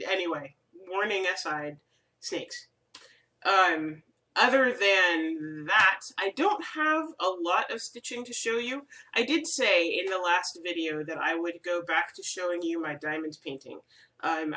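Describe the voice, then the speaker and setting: A woman's voice: medium pitch, pushed and bright, far from the mic. Stuffy-nosed woman chatting at home. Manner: rambling, friendly, lots of ums. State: stuffy from a cold. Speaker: crafting video host. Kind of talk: casual chatty vlog. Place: room at home.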